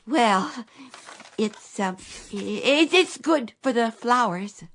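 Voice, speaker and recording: A woman's voice: a little high, animated, very slow, a bit bright, slight background noise, full character voice. A woman acting in a radio drama as a timid, needy lady, with a hesitant, faltering, apologetic manner. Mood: nervous, hesitant. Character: timid, needy, grateful woman selling junk.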